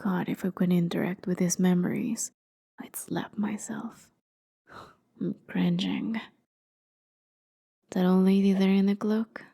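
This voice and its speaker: A woman playing a haughty, jaded immortal warrior in an ASMR roleplay, softly spoken and often near a whisper, with sardonic, conversational storytelling. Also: medium pitch, flat delivery, unhurried, long pauses. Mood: wry, reminiscing. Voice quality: soft, breathy, often dropping to a near whisper. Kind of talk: ASMR roleplay, dramatic monologue.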